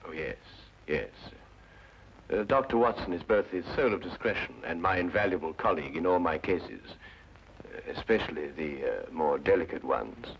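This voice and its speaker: A man's voice: deep voice, lively intonation, normal speed, gravelly, slight background noise. A man playing an urbane, courteous British detective and narrator in an old radio drama. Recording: dull old radio recording, music behind.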